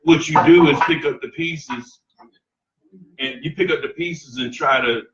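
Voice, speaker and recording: Guy in his 40s, bright kinda high voice, laughs a lot, preachy, echoey far-off lo-fi sound. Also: measured pace. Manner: laughs often, preachy and earnest. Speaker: podcast guest, live show co-host. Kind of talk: casual chat. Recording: echoey room, far from mic, dull lo-fi.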